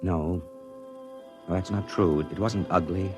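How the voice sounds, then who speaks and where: An actor playing a thoughtful male astronaut who narrates his moon landing in a 1950s radio drama, earnest and halting. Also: sits low, steady tone, over music. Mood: wistful. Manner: earnest, reflective, halting with small stammers. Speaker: narrator and crewman.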